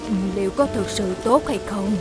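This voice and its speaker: A woman's voice: medium pitch, moderate pace, over music, close to an everyday voice. A woman voicing a motherly, concerned grown-up auntie in a children's cartoon dub. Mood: worried.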